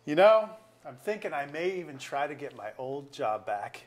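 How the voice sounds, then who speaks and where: A man's voice: on the high side, very animated, moderate pace. A man acting a sardonic, middle-aged small-town lawyer with a grudge in a staged play reading, wry and wordy. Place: auditorium.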